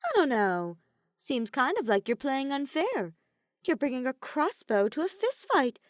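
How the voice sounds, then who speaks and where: A woman's voice: high-pitched, sing-song, a little slow, no room sound, studio quality, voice pushed up. A woman voicing a mocking, ominously cheerful teenage boy villain in an audiobook, with sneering, sing-song delivery. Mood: scornful. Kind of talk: character voice in fan fiction audiobook.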